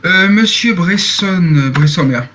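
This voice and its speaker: An eighteen-year-old man moderating a roleplay game server over voice chat, speaking in a halting way and repeating himself. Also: sits high, lively intonation, background noise.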